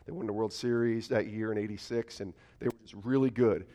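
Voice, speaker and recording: A man in his forties, originally from upstate New York, preaching a church sermon in a raspy, cold-roughened voice and joking with the congregation. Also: steady tone, brisk, warm tone. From Albany, New York. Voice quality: raspy, deeper than usual. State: hoarse from a cold. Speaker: guest preacher. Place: church sanctuary.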